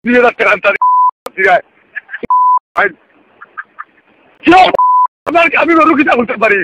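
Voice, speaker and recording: A man's voice: extremely high pitch, animated, a little slow, background noise. A man with a Sylheti accent arguing on the phone, protesting repeatedly in an exasperated way. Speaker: call recipient. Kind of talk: phone argument. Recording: muffled lo-fi phone call, dry.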